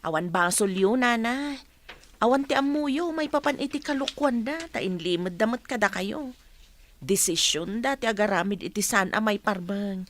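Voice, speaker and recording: An actress playing a gentle, consoling village woman in an Ilocano radio drama. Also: mid-range voice, lively intonation, moderate pace, slight background noise, close to an everyday voice.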